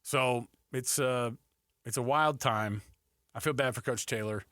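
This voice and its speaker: A man with a mid-pitched voice hosts casual sports talk in a very dry studio. He speaks conversationally and often repeats a phrase to drive it home. The microphone is close. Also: unhurried, a bit bright, no echo. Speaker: sports radio host. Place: radio studio.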